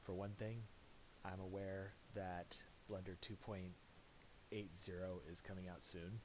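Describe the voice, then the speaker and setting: A man narrating a casual software tutorial, thinking aloud in a halting way. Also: sits low, flat delivery. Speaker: tutorial presenter. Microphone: voice-over for a screen recording. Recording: hissy amateur voice-over.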